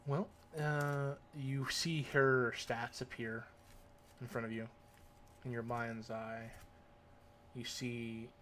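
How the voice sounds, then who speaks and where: A man running a tabletop roleplaying game speaks slowly and haltingly, filling his pauses with hesitation sounds. His voice sits at a middle pitch. A faint room ambience hums behind him. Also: a bit bright, slight background noise. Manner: halting, filler-heavy. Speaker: game master.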